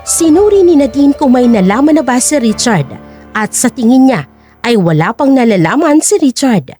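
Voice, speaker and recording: A woman narrates an audio drama in a high voice. Her scripted delivery is dramatic and moves up and down a lot. Faint music plays behind the story. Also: very animated, normal speed, warm tone.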